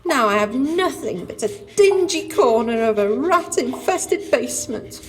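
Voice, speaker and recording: A woman's voice: high voice, lively intonation, measured pace. A woman playing an aggrieved captive goose in an audio pantomime, plaintive and melodramatic. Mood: indignant. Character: aggrieved captive female goose, indignant and put-upon. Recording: long echoing dungeon reverb.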